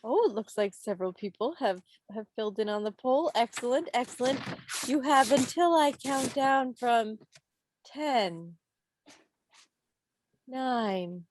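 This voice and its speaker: A woman's voice: on the high side, animated, unhurried, a little mellow, no room sound. A woman hosting a quiz over a video call in a playful, teasing, theatrical way. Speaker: quiz host. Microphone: video call microphone.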